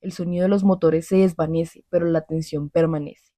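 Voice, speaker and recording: A woman playing a grave war correspondent in a scripted radio drama, reporting solemnly and in measured phrases. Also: on the low side, steady tone, warm tone, natural voice. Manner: solemn, measured, descriptive delivery.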